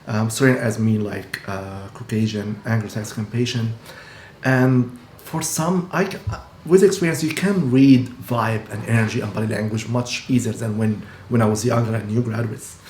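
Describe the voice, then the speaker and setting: Man in his forties, earnest explainer, mid pitch, echoey room. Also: a little mellow. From Egypt. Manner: earnest, explanatory, frequent restarts. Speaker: dentist and practice owner. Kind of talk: casual talk to camera. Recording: echoey room with faint hum.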